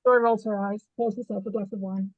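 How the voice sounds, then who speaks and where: A woman's voice: mid-range voice, fairly even intonation, a little fast, no room sound, phone-quality sound. A woman reading out the stage directions of a screenplay as scripted narration.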